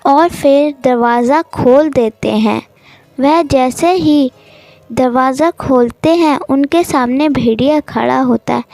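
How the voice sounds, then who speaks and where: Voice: sits high, a little mellow, dry recording. A young-sounding storyteller narrating an animal story for children.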